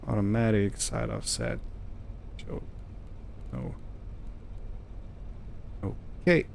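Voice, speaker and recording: A man giving casual let's-play commentary on a video game, musing to himself in an unhurried way. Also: fairly low pitch, sing-song, creaky, slight background noise. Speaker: gamer narrating own farming simulator playthrough.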